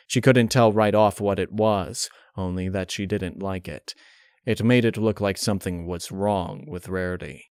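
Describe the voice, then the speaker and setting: A man reading a dark horror story as scripted narration, in a measured, solemn storytelling manner. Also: fairly low pitch, smooth, dry recording, clean studio sound. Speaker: fan-fiction narrator.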